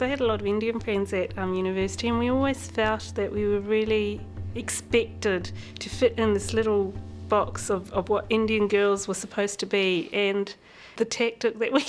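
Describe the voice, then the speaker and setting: A woman with a New Zealand accent talks frankly as an interview guest. She sounds candid and reflective, and she laughs easily. Her voice sits at a middle pitch for a woman. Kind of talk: frank personal conversation.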